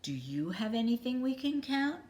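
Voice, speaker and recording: A woman voicing a brave, calm schoolteacher in a children's story, giving firm, deliberate instructions. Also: a little high, slight background noise, pitched above the performer's own voice.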